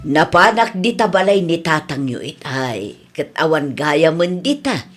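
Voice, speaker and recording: A woman's voice: low-pitched, very animated, measured pace, over music. A woman acting a caring, practical family member who coaxes an old father, in an Ilocano-accented radio drama. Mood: concerned.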